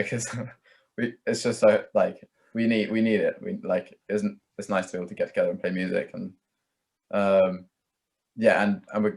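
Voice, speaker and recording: Young man, low voice, narrow intonation, casual chat, rambling, hedging, musician guest. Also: gravelly, darkish tone. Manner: rambling, hedging, peppers talk with "like" and "kind of".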